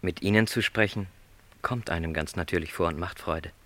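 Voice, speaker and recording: A man's voice: low-pitched, normal speed, fairly bright tone. An actor playing a lonely middle-aged travelling salesman in a literary radio play, speaking with courteous, reflective resignation.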